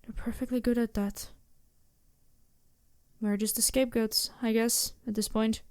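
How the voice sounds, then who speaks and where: A woman voicing a poised, imperious yet compassionate demon princess in an intimate ASMR roleplay, with a deliberate, softly commanding manner. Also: a little high, level tone, dry recording, clean studio sound. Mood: exasperated.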